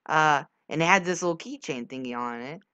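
A man's voice: fairly high pitch, brisk, pushed and bright, clean tone, no room sound. Young teenage boy gamer, slightly muffled mic. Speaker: gaming video host. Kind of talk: casual gameplay chat and storytelling.

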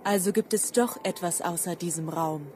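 An actress playing a storyteller in a literary radio drama, relaying old memories in a deliberate, earnest way. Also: female voice, lowish voice, darkish tone, slight background noise, lightly acted. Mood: insistent. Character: female narrator relaying an old man's insistent memories. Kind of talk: literary radio drama narration in reported speech. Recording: music behind the voice.

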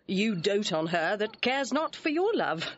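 A woman's voice: medium pitch, big pitch swings, unhurried. A woman playing a lovelorn young woman disguised as a page boy, tender and sorrowful, in classical verse drama. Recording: dull restored old recording.

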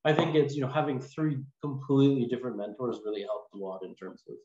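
A man in his forties speaking casually and haltingly as an interview guest over a video call. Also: mid-range voice, a little mellow. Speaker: podcast guest, lawyer. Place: small indoor room, video call. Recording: echoey, muffled video call with noise.

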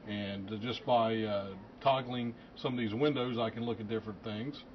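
Middle-aged male forecaster, lowish voice, halting matter-of-fact explainer, noisy office sound. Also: fairly even intonation. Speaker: lead weather forecaster. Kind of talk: informal technical explanation. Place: forecast office at computer workstation. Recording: muffled audio with clear background noise.

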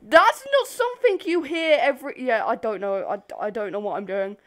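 A young woman telling a story casually to camera in an animated, rambling, giggly way, easily sidetracked. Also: on the high side, a little fast. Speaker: video host. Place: bedroom.

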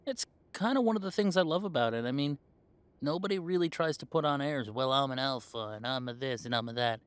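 A man's voice: mid-range voice, fairly quick, smooth, dry recording, lightly acted. A man playing a young werewolf in a conversational audio roleplay, talking in a casual, earnest way. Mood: relaxed, earnest. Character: easygoing young male werewolf, defensive with parents.

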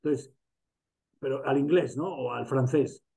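A man's voice: medium pitch, measured pace, creaky, warm tone. A man with a Spanish accent from Spain, talking casually on a video call, with many restarts and fillers. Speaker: masterclass host.

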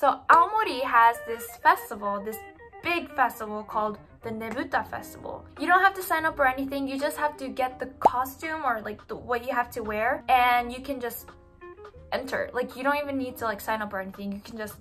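A young woman from Japan chatting to the camera in her bedroom, animated and giggly, full of "like" and "you know". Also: high-pitched, a little fast, faint music behind. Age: young adult. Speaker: vlogger. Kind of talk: casual chat to camera. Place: small bedroom indoors.

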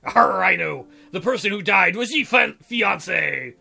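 A man's voice: high-pitched, expressive, creaky, bright, forceful tone, breathy edge, heavily acted. Man putting on a sly, drawling pirate. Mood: sly, probing. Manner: stock pirate drawl with drawn-out "arr". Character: sly, dashing male pirate captor, probing and teasing.

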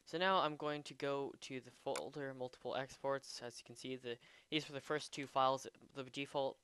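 A man giving a casual, unscripted software tutorial, a bit hesitant as he thinks aloud while demonstrating. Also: mid-range voice, fairly even intonation, normal speed, a little hiss or hum. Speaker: tutorial presenter.

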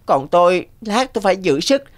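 A woman's voice: on the low side, very animated, a little fast. A woman voicing a small, clever cartoon rabbit with an earnest, coaxing manner. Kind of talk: animated cartoon dialogue.

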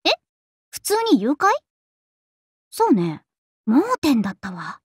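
A woman's voice: fairly high pitch, big pitch swings, slow pace, breathy edge, dry recording, studio quality, exaggerated voice. A woman voicing a vain, bubbly young princess idol in a Japanese anime game, with a haughty, playful and theatrical delivery. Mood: playful, musing.

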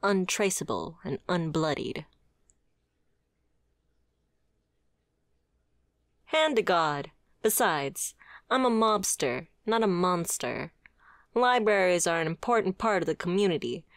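Mafia boss played by a woman: wry, principled, flirtatious, lower female register, very dry close sound, ASMR roleplay. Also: lively intonation, moderate pace, dry recording. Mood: reassuring, flirtatious. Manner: sardonic, conversational, swearing. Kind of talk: scripted ASMR roleplay acting.

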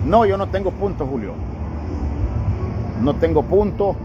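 A man's voice: high-pitched, lively intonation, a little slow, lo-fi sound. A Honduran man who hosts a charity channel, bluntly lecturing someone on a night street, filmed on a phone. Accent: Honduran Spanish. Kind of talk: scolding street talk. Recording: noisy night street recording.

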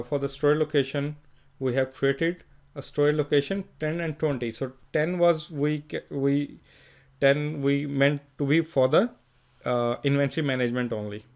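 A man walking through a screen-recorded software tutorial, plainly explaining each step with fillers and self-corrections. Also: a little high. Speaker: software trainer.

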